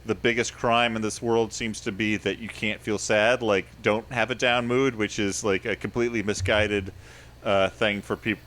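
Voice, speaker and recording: A man with a lowish voice co-hosts a casual comedy podcast. He rambles through his points, laughing at himself and piling up little asides. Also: fairly even intonation, normal speed, fairly bright tone. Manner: rambling, self-deprecating, laughs often. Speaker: podcast co-host.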